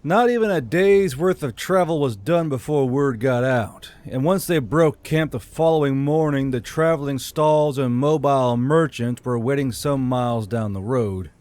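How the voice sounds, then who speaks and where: A man's voice: medium pitch, a little mellow. A man reading a scripted fantasy audiobook as host and narrator of his channel.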